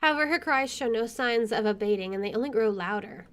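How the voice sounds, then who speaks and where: A woman's voice: on the high side, animated, quick pace. A woman hosting a gaming video, reading visual novel narration aloud with casual asides.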